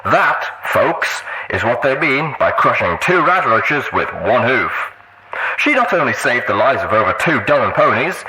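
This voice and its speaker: Man playing a brash, exuberant radio DJ, swooping pitch. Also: big pitch swings, a little slow, sharp tone, exaggerated voice, rough recording. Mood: exuberant announcing. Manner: boisterous, showman-like announcing. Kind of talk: radio broadcast announcing.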